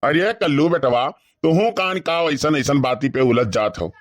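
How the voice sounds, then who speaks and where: A man plays a gossipy village uncle in a cartoon comedy. His voice sits a little high for a man and has an Awadhi accent. He cajoles and complains in a close, dry recording. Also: lively intonation, normal speed, dry recording, natural voice. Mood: defensive.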